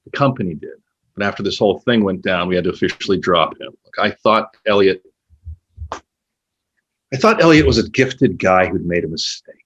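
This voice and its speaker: A man playing a probing, cynical former literary agent in a naturalistic two-man play, pointed and halting, with a husky voice. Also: sits low, animated, fairly bright tone, heavily acted. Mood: defensive, earnest. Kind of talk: naturalistic stage dialogue.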